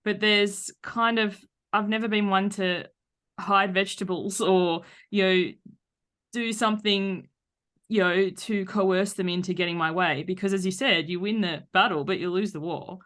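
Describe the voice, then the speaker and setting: A woman's voice: mid-range voice, steady tone, normal speed, fairly bright tone. A woman hosting a podcast in casual conversation, enthusiastic and anecdotal. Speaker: podcast host.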